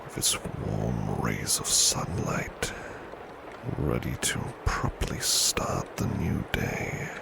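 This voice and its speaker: A man playing a big, burly, devoted butler in a soft ASMR roleplay, murmuring in a breathy, polite, tender and protective way. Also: very deep voice, lively intonation, slow, background noise, exaggerated voice. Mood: sleepy, soothing. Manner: polite, formal, tender, reassuring.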